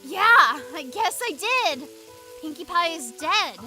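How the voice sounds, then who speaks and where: A woman's voice: very high-pitched, expressive, takes it slowly, pushed and bright, heavily acted. A woman voicing a sadistic, gleeful little filly pony with a childlike, sinister manner in an amateur fan audio drama. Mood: quiet wonder. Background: music.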